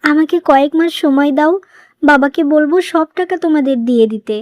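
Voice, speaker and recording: A wronged young cartoon wife, acted by a woman, loving and long-suffering. Her voice is very high, earnest and pleading, captured close and dry. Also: fairly quick, a little mellow, dry recording, natural voice. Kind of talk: moral-story cartoon dubbing.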